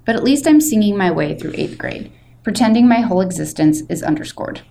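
A woman's voice: medium pitch, expressive, a little fast, vocal fry, mellow. A woman reading as a short, wisecracking eighth-grade boy, chatty, theatrical and self-deprecating, in a storybook read-aloud. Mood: wry, theatrical. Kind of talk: expressive first-person audiobook-style reading. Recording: faint background noise.

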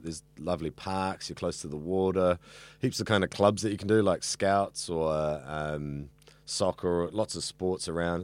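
A man in his thirties with a deep voice and a New Zealand accent, chatting casually and talkatively in an interview. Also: darkish tone, dry recording. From Auckland, New Zealand. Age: 30s. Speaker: radio student being interviewed.

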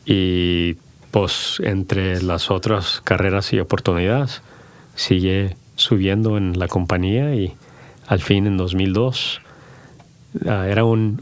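A middle-aged man speaks as a podcast guest, his voice sitting low with a gravelly edge. He stops and restarts often and drifts into English mid-sentence. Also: no echo. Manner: halting, many restarts, switches into English. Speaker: podcast guest, company founder. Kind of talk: casual bilingual interview.

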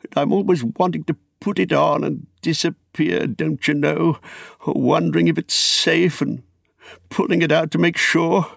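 A man's voice: fairly high pitch, fairly even intonation, normal speed, creaky, no room sound, studio quality, voice pushed up. A man voicing a fussy, wistful, elderly hobbit in a storybook, with polite, rambling, exclamatory speech. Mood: anxious, wistful. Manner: polite, rambling, exclamatory old-fashioned English.

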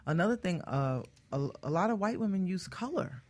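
This is a woman giving expert advice in a relaxed radio conversation. She is plain-spoken and emphatic, often restating a point to make it land. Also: lowish voice, moderate pace. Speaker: guest hair care expert.

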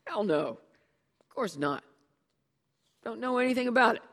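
Narrator doing a chill heavyset dude, slangy, brushes stuff off, bit of echo on the recording. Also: male voice, extremely high pitch, animated, creaky, a bit bright, pitched above the performer's own voice, exaggerated voice. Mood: breezy. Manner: laid-back slang, quick denials. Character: heavyset easygoing man, slangy and evasive. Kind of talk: audiobook character dialogue.

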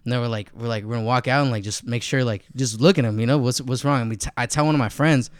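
A man's voice: medium pitch, steady tone, fast talker, clear, resonant tone, no room sound, clean studio sound. A man in casual podcast chat, rambling through stories with lots of "like" between words. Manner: rambling storyteller, heavy "like" filler. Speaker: podcast co-host or guest.

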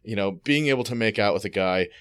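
A man's voice: fairly low pitch, quick pace, fairly bright tone, smooth. A man co-hosting a podcast in casual chat, frank and crude, rambling with frequent restarts. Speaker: podcast co-host.

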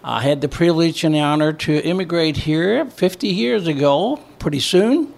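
Gravelly older man, Greek accent. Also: fairly high pitch, animated, normal speed, darkish tone. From northern Greece. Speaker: interview guest, community club member. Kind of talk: conversational interview answers.

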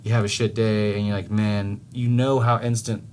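A man's voice: lowish voice, moderate pace, slight background noise. Rambling, swearing male podcast co-host. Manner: rambling, restarts often, swears, animated when telling stories. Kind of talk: casual podcast chat.

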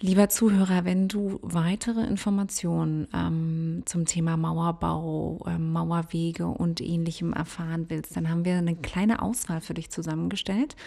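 A woman's voice: medium pitch, darkish tone. A woman hosting a podcast, asking questions and then closing the episode in a friendly tone with frequent ums. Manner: friendly, fills pauses with ums. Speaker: podcast host. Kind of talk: podcast interview and outro.

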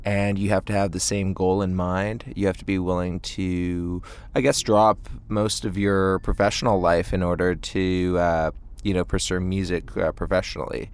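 A man, a band singer chatting casually in an interview with halting, hesitant phrasing. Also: low voice, level tone, clean tone. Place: inside a parked tour van.

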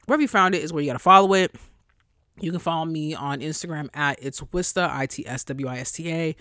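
A young woman hosting a solo podcast in a casual, chatty way, blunt and profane, her voice jumping high when she gets excited. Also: quick pace, no room sound, clean studio sound. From New York area. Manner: profane, blunt asides to listeners. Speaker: podcast host.

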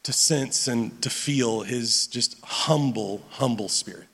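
A man preaching a sermon as pastor, with a loud voice, often stumbling over and repeating his words as he goes. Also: medium pitch, slow, breathy edge. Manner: stammering restarts, animated and repetitive. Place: church sanctuary. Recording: long hall reverb.

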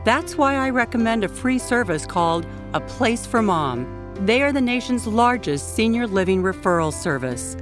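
A woman's voice in a scripted radio advertisement, earnest and reassuring. Music plays clearly behind her. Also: fairly high pitch, animated, moderate pace. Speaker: ad spokeswoman.

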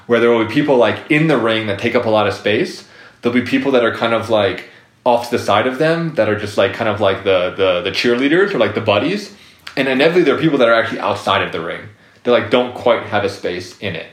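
A low-voiced man speaking as a therapist guest, circling his points with fillers and restarts. He sounds roomy, as if recorded in an echoey space. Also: lively intonation, fast talker. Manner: rambling, many fillers and restarts. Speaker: guest psychotherapist. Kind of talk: casual interview talk.

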